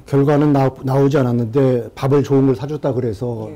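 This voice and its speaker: A man with a warm, mellow voice speaks firmly and deliberately. He stresses his points in a measured way, like a senior official giving commentary. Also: medium pitch, fairly even intonation, faint music behind. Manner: firm, deliberate, emphatic. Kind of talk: firm commentary in a talk show.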